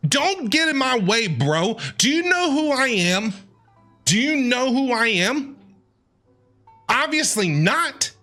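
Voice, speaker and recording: A woman's voice: high voice, big pitch swings, takes it slowly, fairly bright tone. A woman streamer giving casual, sassy game commentary and playfully talking back to the characters. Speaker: gaming streamer. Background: game background music.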